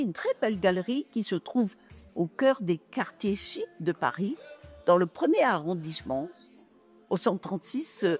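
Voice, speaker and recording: A woman interviewer of middle pitch questions young models at a gallery event. She sounds encouraging and chatty, and faint music plays behind her. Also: darkish tone, breathy edge. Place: art gallery.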